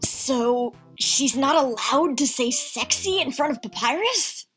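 A woman as a brash, high-pitched fish-monster warrior. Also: lively intonation, slow pace, a bit bright, slightly rough, full character voice, rough recording. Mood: annoyed. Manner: blunt, indignant, aggressive. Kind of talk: comic dub voice acting. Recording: voice over background music.

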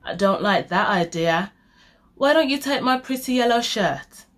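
A woman's voice: medium pitch, animated, a little slow, fairly bright tone. Woman as a persuasive storybook boy. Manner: pleading, persuasive. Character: quick-thinking boy bargaining nervously with tigers.

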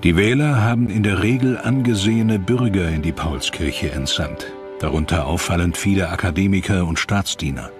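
A man with a deep voice narrates a historical documentary. His delivery is scripted, and music runs clearly beneath his words. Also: moderate pace.

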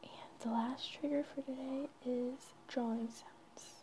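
Girl whispering asmr real slow, breathy n casual, noisy homemade audio. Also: sits high, fairly even intonation. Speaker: ASMR video creator. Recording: clearly noisy home recording.

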